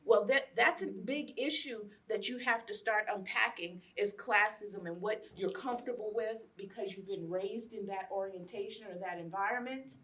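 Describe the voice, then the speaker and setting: A woman's voice: mid-range voice, normal speed, hardly any pauses, a bit bright, distant mic, low-quality recording. An American woman in her late fifties speaking in an impassioned, emphatic panel discussion, repeating phrases for effect. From Los Angeles, United States. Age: late 50s. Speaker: guest speaker, educator.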